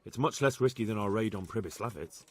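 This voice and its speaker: An actor playing an earnest young medieval spy in a game, plain-spoken and respectful. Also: male voice, on the low side, fast talker, no room sound, natural voice. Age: young adult. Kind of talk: video game cutscene acting.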